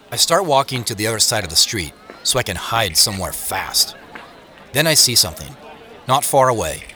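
A man's voice: on the low side, pushed and bright, a little hiss or hum. An actor playing a harried, self-conscious man in an audio drama, speaking in a wry, confiding way. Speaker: narrator and lead actor. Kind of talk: first-person audio drama narration and acting. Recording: produced audio drama with music, street ambience.

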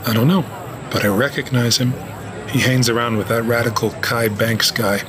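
An actor playing a cynical, stern police officer in an audio drama, dry and skeptical. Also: male voice, medium pitch, steady tone, moderate pace, close to an everyday voice. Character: cynical, stern male police officer, self-styled realist. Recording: crowd noise behind. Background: crowd.

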